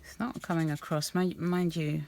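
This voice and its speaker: Low-voiced woman chatting while crafting. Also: fairly even intonation, moderate pace, vocal fry, a little hiss or hum. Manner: rambling, soft-spoken, many ums and restarts. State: slightly croaky voice. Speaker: art journaling vlogger. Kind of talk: casual craft-along chat.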